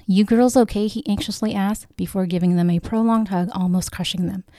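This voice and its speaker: Female audiobook reader, low pitch, relaxed dark timbre, story narration. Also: a little fast, no echo. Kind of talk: scripted story narration, read aloud.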